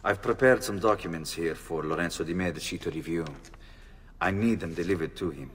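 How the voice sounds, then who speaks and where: An actor playing a stern but fond middle-aged nobleman in a video game, measured and authoritative. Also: sits low, fairly bright tone, recorded from a distance, a little hiss or hum. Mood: businesslike. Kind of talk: video game character acting.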